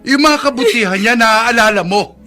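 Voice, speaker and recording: Radio drama casting: bitter scolding dad, strained high pitch, hard forceful tone. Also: male voice, normal speed, soft background music. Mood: bitter, wounded. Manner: scolding, loud, aggrieved. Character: embittered, hot-tempered father of two children. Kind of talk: Filipino radio drama acting.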